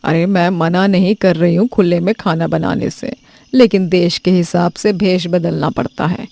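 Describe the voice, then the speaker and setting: Middle-aged mother-in-law, measured and firm, voiced by a woman; lowered voice, dry sound. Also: steady tone, vocal fry, warm tone, dry recording. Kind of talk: cartoon story voice acting.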